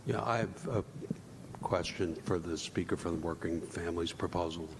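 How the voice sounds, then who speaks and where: Deep-voiced man, lawyerly, in echoey hall. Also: creaky. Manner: deliberate, lawyerly, measured phrasing. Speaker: commissioner questioning speakers. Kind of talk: formal questioning at public hearing. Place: large indoor public hearing room. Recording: echoey hall, rumbling room noise.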